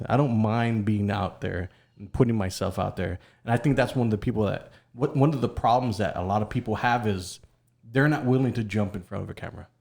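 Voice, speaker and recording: Confident Texan man with a lowish voice. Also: a little mellow. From Texas. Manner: emphatic, confident and opinionated. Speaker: podcast host. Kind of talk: casual podcast conversation.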